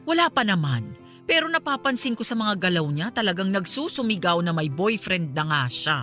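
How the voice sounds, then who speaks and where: A woman's voice: medium pitch, big pitch swings, moderate pace, bright, forceful tone, soft background music. A woman playing a suspicious middle-aged mother chatting conversationally in a radio drama, with a Filipino accent. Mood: suspicious, gossipy.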